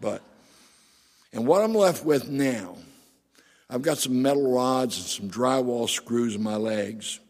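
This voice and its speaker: A middle-aged male pastor preaches with a raspy, gravelly voice and a husky edge. He drives his sermon forward with emphatic rhetorical questions to the congregation. His voice echoes with a long reverb through a church sanctuary. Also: mid-range voice, expressive, slow pace, pauses often, a little mellow.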